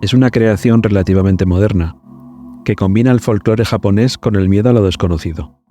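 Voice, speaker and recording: Low, mellow male narrator over music. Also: brisk. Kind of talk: scripted documentary-like narration.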